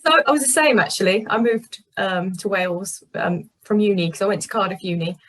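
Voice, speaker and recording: A woman's voice: medium pitch, a little fast, a bit bright. A British woman conducting a casual interview, putting questions in a tentative, conversational way. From Cornwall, England.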